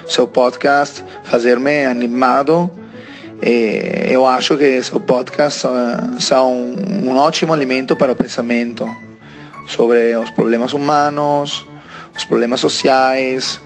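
A man's voice: mid-range voice, steady tone, slow pace, soft background music. A man in his twenties from Italy, an Italian speaker talking in Portuguese with an Italian accent, sending a listener message with hesitant phrasing and frequent fillers. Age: 20s.

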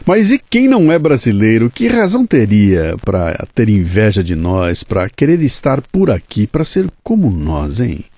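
A man's voice: on the low side, wildly swooping pitch, a little slow, hardly any pauses, mellow, no room sound. A man with a Brazilian accent hosting a podcast, narrating from a script in a conversational way and putting rhetorical questions to the listener. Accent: Brazilian Portuguese. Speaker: podcast host.

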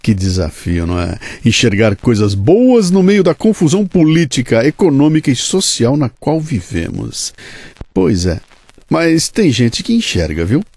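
A man's voice: mid-range voice, sing-song, unhurried, creaky, darkish tone, husky, no room sound. A man with a Brazilian accent hosting a podcast, narrating from a script in a conversational way and putting rhetorical questions to the listener. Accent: Brazilian Portuguese. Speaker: podcast host.

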